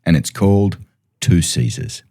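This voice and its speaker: A man's voice: low-pitched, measured pace, heavy background noise. A man hosting a podcast and reading its scripted introduction. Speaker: podcast host. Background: music.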